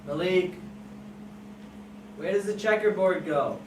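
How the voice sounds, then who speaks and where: Woman reading as stern teenage babysitter, low-voiced and echoey. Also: takes it slowly. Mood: stern, exasperated. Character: stern nineteen-year-old babysitter, dry and unyielding. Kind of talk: amateur script table read. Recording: echoey room, distant mic, background noise.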